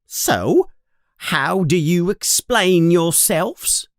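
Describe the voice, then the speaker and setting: Man voicing a shrill scolding mother. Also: wildly swooping pitch, slow pace, a bit bright, husky, dry recording, clean studio sound, exaggerated voice. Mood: angry. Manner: shrill scolding, sudden sweetness to guests. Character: stern short plump mother, scolding, motherly.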